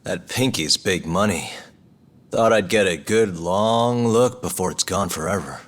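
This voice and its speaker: An actor playing a cocky, sardonic civilian among gangsters in a video game crime drama, with a cool, needling delivery. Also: male voice, sits low, a little slow. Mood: goading. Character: cocky, sardonic male friend of yakuza, unafraid civilian. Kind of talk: dramatic English game voice acting. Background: prominent music.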